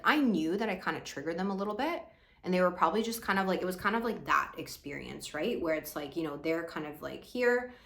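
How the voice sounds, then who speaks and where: A woman's voice: lowish voice, vocal fry, fairly bright tone. A young woman from Hong Kong, a business coach talking casually to her audience, full of "like" fillers and light laughs. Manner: chatty, many "like" fillers, laughs often. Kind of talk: casual monologue to camera.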